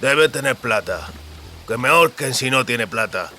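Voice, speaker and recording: A man's voice: medium pitch, animated, normal speed, pushed and bright. A male reader acts out a gloomy male ruffian from a fantasy audiobook. He sounds sly and insinuating, with a faint noise behind the voice. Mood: gloomy greed. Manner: lugubrious, insinuating.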